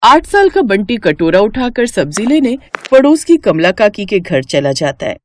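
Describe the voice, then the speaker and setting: A woman's voice: mid-range voice, very animated, moderate pace, darkish tone, slight background noise. A woman reading scripted storybook narration for a cartoon moral tale.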